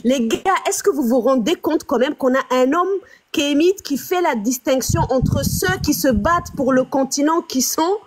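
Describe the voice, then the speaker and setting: A woman hosting a live stream, commenting on clips and putting rhetorical questions to her viewers in an animated way. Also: high-pitched, normal speed.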